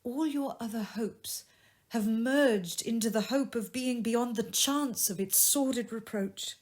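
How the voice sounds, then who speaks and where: A woman voicing a sorrowful, dignified young woman in a classic storybook tale, speaking gently and earnestly. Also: a little high, measured pace, voice pushed up. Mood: gentle reproach. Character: sorrowful, dignified young woman releasing her changed fiancé. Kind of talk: dramatised audiobook reading of a classic tale.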